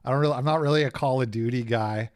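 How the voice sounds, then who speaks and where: A man with a raspy voice hosting a casual livestream, rambling, sarcastic and quick to get irritated. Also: mid-range voice. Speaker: host.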